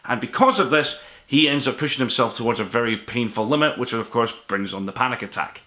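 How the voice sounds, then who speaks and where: Man, bright forceful voice, book review host, earnest, emphatic, conversational delivery. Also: mid-range voice, lively intonation, fairly quick. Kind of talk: enthusiastic spoken book review to camera.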